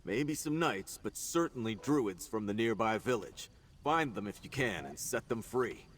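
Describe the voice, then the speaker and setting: A man's voice: fairly low pitch, expressive, measured pace, slight background noise. A man voicing a wary knight commander giving orders in a video game. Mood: grave, urgent.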